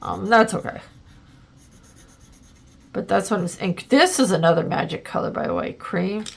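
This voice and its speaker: A woman's voice: medium pitch, lively intonation, moderate pace, vocal fry, breathy edge. A woman hosting a casual art tutorial, a little congested and plugged up, chatting and thinking aloud as she works, with lots of ums and likes. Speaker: art channel host.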